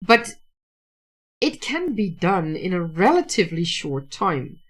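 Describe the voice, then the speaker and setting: Slow-talking Dutch woman, low and halting. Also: very animated, slightly rough. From Netherlands. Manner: halting, many restarts and fillers. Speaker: writer hosting a livestream. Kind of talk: casual livestream talk.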